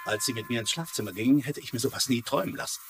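A man's voice: mid-range voice, rapid-fire, gravelly, very noisy, natural voice. An actor playing a polite, formal male lawyer in a dubbed crime drama. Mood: flattering. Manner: courteous, formal. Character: polite, smooth-talking male estate lawyer, dutiful. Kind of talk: German dubbed TV crime series acting.

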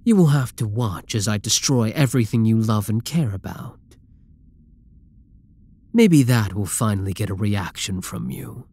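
Guy doing a possessive villain for asmr roleplay, super slow n breathy, menacing, slips into whispers. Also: on the low side, darkish tone, dry recording, heavily acted. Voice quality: breathy, husky. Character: dominant, obsessive male supervillain taunting captured hero. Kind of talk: ASMR roleplay, scripted dramatic monologue.

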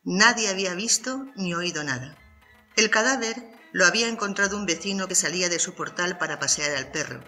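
An audiobook narrator reads a scripted crime story in a bright, forceful voice of medium pitch. The sound is roomy and echoey, with music underneath. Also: lively intonation, fairly quick.

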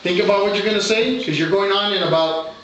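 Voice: medium pitch, fast talker, muffled recording, crowd noise. An adult group leader giving casual instructions to children. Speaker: scout group leader running a puppet show. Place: indoor classroom, far from camera. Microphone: distant camera microphone. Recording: noisy, echoey room, distant camera mic.

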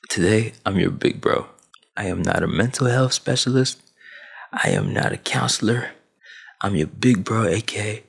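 A young man in his twenties, from Sudan and raised in Saudi Arabia, lectures like an older brother. His voice is low, gravelly and husky, and it swings up and down a lot as he talks with his hands. He speaks into a headset mic in a small dim room. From Sudan, grew up in Saudi Arabia. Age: 20s. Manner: preachy big-brother tone, emphatic, with hand gestures. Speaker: vlogger. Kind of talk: motivational rant to camera. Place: small dim room at home, gaming chair behind. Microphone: headset with boom mic.

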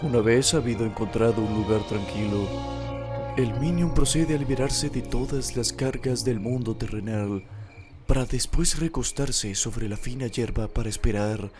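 A man's voice: mid-range voice, moderate pace. A man with a Latin American accent narrating a parody nature documentary in a mock-solemn, sometimes crude manner. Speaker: narrator and fandubber. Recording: music loud behind narration. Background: music.

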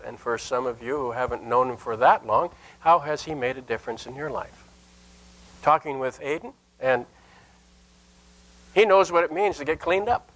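An older man, a Lutheran pastor, preaching a sermon in an earnest, emphatic manner with deliberate pauses and repeated phrases. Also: fairly high pitch, fairly bright tone, low-quality recording. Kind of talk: sermon with scripture and anecdote. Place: indoor church sanctuary at a lectern.

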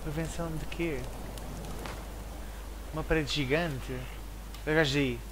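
Excitable European Portuguese gamer man. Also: on the high side, unhurried, slight background noise, low-quality recording. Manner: excitable, swears a lot, teasing. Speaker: gaming streamer. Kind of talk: casual let's-play commentary. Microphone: headset.

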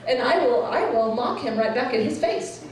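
A woman's voice: fairly high pitch, expressive, normal speed, a crowd in the background. A middle-aged woman doing a playful, self-mocking stand-up set on stage with a handheld mic, chatting with the audience. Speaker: stand-up comedian and speaker. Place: stage in a large room with a live audience. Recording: roomy, distant, with audience laughter.

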